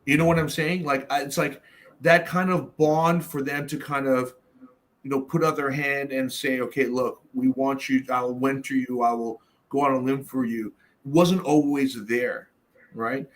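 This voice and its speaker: A man's voice: mid-range voice, fairly even intonation, unhurried. A middle-aged man from Canada in a casual roundtable discussion, talking bluntly with plenty of swearing and fillers. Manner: blunt, profane, storytelling with many fillers.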